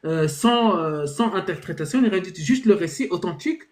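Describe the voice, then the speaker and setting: A man's voice: sits high, lively intonation, brisk. A man hosting a live online debate, talking in a hesitant, reassuring way with lots of restarts and fillers. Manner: reassuring and conciliatory.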